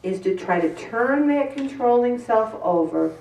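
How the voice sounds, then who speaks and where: A woman's voice: on the high side, lively intonation, a little slow, creaky, darkish tone. Candid woman talking in an echoey room. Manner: candid and self-deprecating, acts out her inner voices. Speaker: group speaker. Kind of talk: faith-based talk to a group. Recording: roomy echo from some distance.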